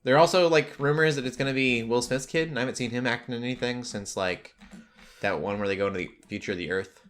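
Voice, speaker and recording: A man's voice: medium pitch, fast, fairly bright tone. A man hosting a casual pop culture podcast, talking in a rambling way that drifts into tangents. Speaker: podcast host.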